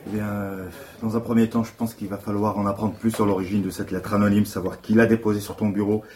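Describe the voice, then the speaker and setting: An actor playing an easygoing, teasing junior police colleague, casual and gently ironic, in a naturalistic fiction podcast. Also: male voice, on the low side, little pitch movement, quick pace, slight background noise, lightly acted. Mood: friendly.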